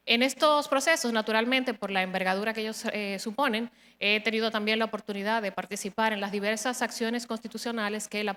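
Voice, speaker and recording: Woman, thirties, formal measured delivery, hesitant fillers, long hall reverb. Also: medium pitch, fairly bright tone. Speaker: judicial candidate. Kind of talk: formal interview presentation.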